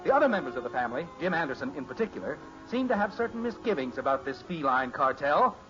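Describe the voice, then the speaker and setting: Old radio sitcom narrator guy, high voice, wry, music behind, muffled sound. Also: very animated, quick pace.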